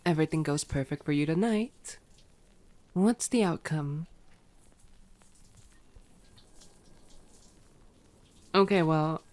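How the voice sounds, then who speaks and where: A woman's voice: low voice, darkish tone. A woman playing a sarcastic, teasing female vampire in an ASMR roleplay audio drama, playful and exasperated. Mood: wary, dry.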